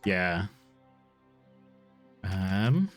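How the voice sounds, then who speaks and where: A man's voice: low-pitched, sing-song, very slow, soft background music. Laid-back swearing male streamer. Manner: chatty, swears freely, laughs often. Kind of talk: casual live game stream chat.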